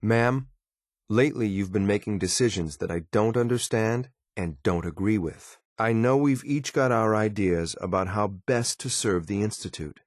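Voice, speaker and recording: Man as deep-voiced, deadpan android soldier. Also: moderate pace, no echo, studio quality, close to an everyday voice. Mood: stern, reproachful. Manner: formal, clipped, deadpan, deferential yet blunt. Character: cool, loyal, disapproving male android soldier. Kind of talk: video game companion voice lines.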